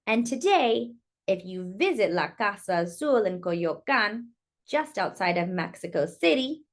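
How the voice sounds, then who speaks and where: A woman with a fairly high, very animated voice reads a picture book aloud for young children. She delivers the story expressively and turns to her listeners with exclamations and asides about the illustrations.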